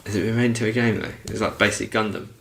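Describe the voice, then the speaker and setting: Male podcast co-host, low register, self-deprecating and chatty, recorded in an echoey room. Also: flat delivery, racing, creaky. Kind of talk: casual banter with a co-host.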